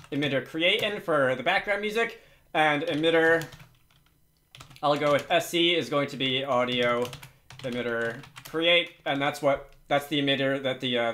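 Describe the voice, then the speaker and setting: A man's voice: on the high side, fairly bright tone, a little hiss or hum. Man giving an informal coding tutorial. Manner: hesitant, thinking aloud while working. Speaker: tutorial host. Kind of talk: informal screen-recorded programming tutorial. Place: indoors at a computer, screen recording with typing.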